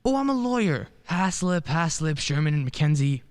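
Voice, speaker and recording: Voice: mid-range voice, sing-song, moderate pace, a little mellow, lightly acted. An actor playing a friendly young male lawyer in a radio play, breezy and wry. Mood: affable. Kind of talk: stage-style radio play acting.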